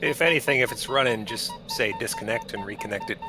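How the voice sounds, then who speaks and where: A man's voice: medium pitch, huge pitch swings, fast, music in the background. A man chatting casually and joking with fellow pilots over voice comms during a glider sim race.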